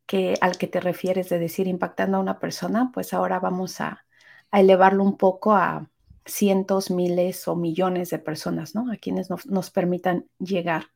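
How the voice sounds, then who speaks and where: A woman's voice: lowish voice, level tone, normal speed, gravelly, a little mellow. Encouraging woman podcast co-host. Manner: encouraging, articulate, coaching tone. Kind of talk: casual podcast conversation.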